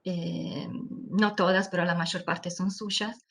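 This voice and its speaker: A woman's voice: lowish voice, fairly even intonation, a bit bright. Italian-accented woman giving a careful lecture. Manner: careful, measured, with frequent hesitant fillers. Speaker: anthropology lecturer. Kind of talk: academic lecture. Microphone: video call.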